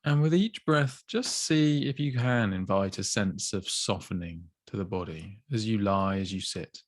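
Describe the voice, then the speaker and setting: A man with a low, calm voice guides a mindfulness body scan. He speaks slowly and gently, leaving long pauses between phrases. The recording is dry and close, with no room sound. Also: dry recording. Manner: slow, gentle, soothing guidance with long pauses. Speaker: mindfulness teacher.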